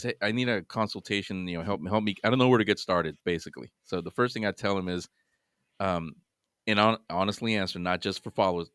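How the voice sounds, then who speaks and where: A man's voice: on the low side, a bit bright, smooth, no room sound, studio quality. A man guesting on a podcast, giving earnest advice in casual chat, often restarting his sentences.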